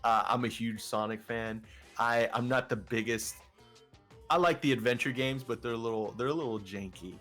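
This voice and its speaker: Vtuber guy with a deeper voice, rambles and restarts a lot, lil bit of music under it. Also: normal speed, a bit bright. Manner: rambling, filler-heavy, frequent restarts. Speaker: vtuber guest. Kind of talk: casual interview chat.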